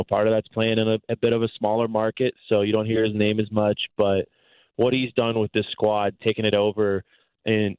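A man's voice: lowish voice, no room sound, narrow, tinny audio. A man speaking as a guest sports announcer, giving articulate, conversational analysis in long explanatory runs. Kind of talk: conversational soccer analysis on a sports talk show.

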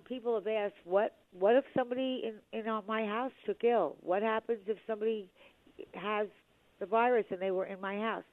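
A woman hosting a talk radio show, a little hoarse, chatty with lots of fillers. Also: mid-range voice, dry recording. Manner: chatty, with many fillers and restarts. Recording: dry tinny phone-like radio sound.

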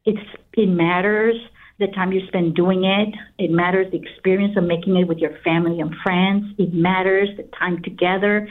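A woman's voice: fairly low pitch, fairly even intonation, normal speed. A middle-aged woman from Guatemala speaking as an interview guest, telling her own story conversationally. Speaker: interview guest, company founder. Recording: slightly distant, narrow phone-like sound.

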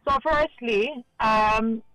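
A South African woman in her forties calling in to a radio show, speaking calmly and thoughtfully about what she wants. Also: on the high side, extremely slow, no echo, rough recording. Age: 40s. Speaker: caller. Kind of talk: radio phone-in interview.

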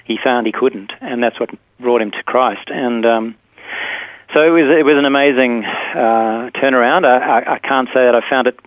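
A man's voice: mid-range voice, normal speed, dry recording. South African man on a tinny phone line. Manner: thoughtful, hesitant with many fillers. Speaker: interview guest, teacher and filmmaker. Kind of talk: phone interview, casual storytelling. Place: room in a school. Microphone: telephone.

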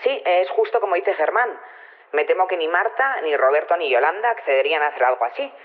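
A man's voice: a little high, expressive, fairly quick, close to an everyday voice. A man with an accent from Spain playing a matter-of-fact analyst among secret rebels in an audio drama. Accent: Spanish (Spain). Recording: tinny telephone-like sound.

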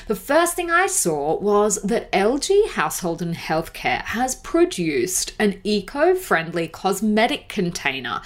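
A woman hosting a podcast in a casual, chatty way, sounding a bit congested from a cold, with frequent fillers. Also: fairly low pitch. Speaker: podcast host. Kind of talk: casual solo podcast chat.

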